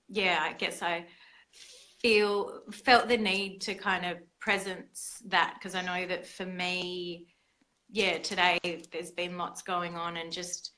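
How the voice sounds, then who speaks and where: A woman hosting a casual conversation, soft-spoken and hesitant, with many fillers. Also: lowish voice, low-quality recording. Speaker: host.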